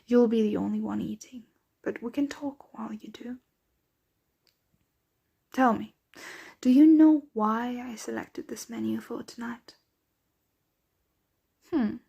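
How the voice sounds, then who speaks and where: A woman playing a centuries-old, doting, aristocratic vampire mistress in an ASMR roleplay, speaking softly, tenderly and without hurry, often slipping into whispers. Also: a little high, vocal fry, slightly rough, heavily acted. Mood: attentive, tender. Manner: soft, unhurried, tender, often drifting into whispers.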